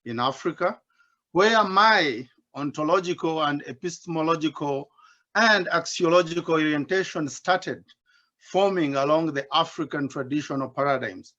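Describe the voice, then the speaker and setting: A middle-aged man from Uganda, giving a reflective talk with gentle self-mocking humor from his home basement. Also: a little high, normal speed. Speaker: invited speaker, doctoral student. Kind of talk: personal reflective talk at an online symposium. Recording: dull, muffled video-call audio.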